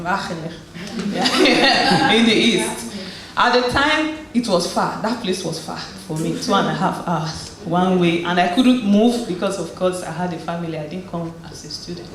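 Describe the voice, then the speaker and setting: A woman from Nigeria, giving a conversational personal testimony as a guest speaker and encouraging her audience, often restarting her phrases. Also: on the low side. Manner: conversational storytelling with frequent restarts. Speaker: guest speaker at a community event. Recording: very echoey hall with faint noise.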